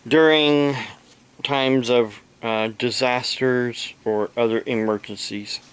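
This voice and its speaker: Guy doing an off the cuff video monologue, really slow and halting, bit of a grievance, noise behind him. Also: medium pitch, fairly even intonation. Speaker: amateur radio operator, video blogger. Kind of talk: unscripted video monologue, showing things to camera.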